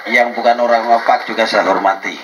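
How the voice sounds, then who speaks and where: A man giving a formal speech with a religious opening at a community gathering, measured and lightly joking. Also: on the low side, steady tone. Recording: noisy event recording.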